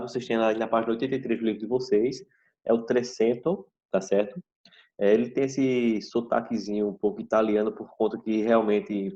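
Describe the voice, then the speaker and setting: A man teaching a history class over a video call, explaining slowly and checking in with "tá certo?" after each point. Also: fairly low pitch, warm tone. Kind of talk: online class lecture. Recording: dull video call audio.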